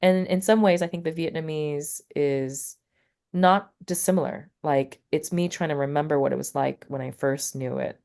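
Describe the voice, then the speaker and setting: Woman, low pitch, casual interview guest, rambling, lots of fillers. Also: steady tone, normal speed, creaky, a little mellow. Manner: rambling, many fillers like "like" and "you know". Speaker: poet and teacher, interview guest.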